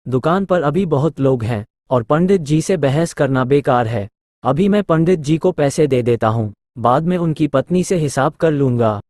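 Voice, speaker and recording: A man's voice: a little high, lively intonation, moderate pace, a little mellow, no room sound, studio quality, natural voice. A man acting polite, simple villagers in a Hindi cartoon moral story. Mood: musing, wary.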